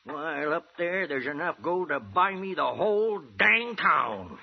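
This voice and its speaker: Gravelly, high-pitched old prospector. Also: male voice, animated, a little slow, exaggerated voice. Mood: wry, stubborn. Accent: American. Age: older. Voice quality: gravelly, cackling old-timer voice. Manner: folksy, rambling, throat-clearing drawl. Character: toothless, eager old gold prospector of the frontier West. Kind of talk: 1950s radio western acting. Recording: muffled, hissy old radio recording.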